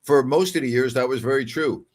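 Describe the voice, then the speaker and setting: A man's voice: medium pitch, a little fast. Older American man hosting sports talk. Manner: conversational, emphatic, repeats phrases for emphasis. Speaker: sports talk show host. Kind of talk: casual call-in show chat reading listener messages.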